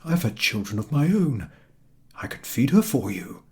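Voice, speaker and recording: A man voicing a kindly, generous old shoemaker in a storybook, with a hearty, gentle manner. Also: medium pitch, very animated, moderate pace, close to an everyday voice. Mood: kindly, caring. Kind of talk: storybook character acting.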